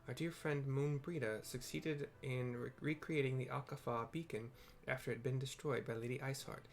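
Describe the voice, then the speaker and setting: A man's voice: mid-range voice, natural voice. A man voicing earnest, formal fantasy game characters, reading wordy archaic dialogue with the odd stumble.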